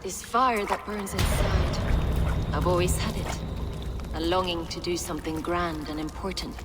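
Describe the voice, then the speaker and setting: A woman playing a dying, zealous abbess in a video game, solemn and severe, with grand measured oratory. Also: on the low side, fairly even intonation, lightly acted. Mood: solemn fervor. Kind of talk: video game dramatic acting. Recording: long cavernous reverb, clear background noise.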